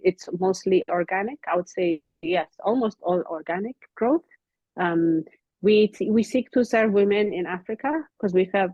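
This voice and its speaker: A woman from Ethiopia, a company founder, explaining hair care in an earnest way, often pausing with ums, over a video call. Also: mid-range voice, no room sound. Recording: dull, slightly lo-fi call audio.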